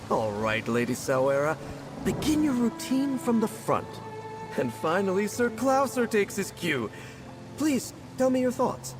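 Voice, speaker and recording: A man's voice: high-pitched, sing-song, measured pace. An actor playing a flamboyant, earnest animal-act trainer in a video game, with a pompous, florid, showman's manner. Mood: showy, earnest. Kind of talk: theatrical video game dialogue. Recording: game voice under music.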